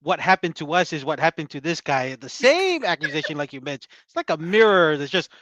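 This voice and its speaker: A man co-hosting a casual chat show, rambling with halting fillers and trailing off softly. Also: fairly high pitch, very animated, dry recording. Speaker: podcast co-host.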